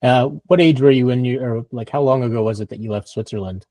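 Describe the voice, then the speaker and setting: Thoughtful male co-host, mid pitch, dry close mic, repeats himself while thinking. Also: fast talker, dark tone, dry recording. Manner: thoughtful, stumbling repetitions. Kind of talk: casual conversation.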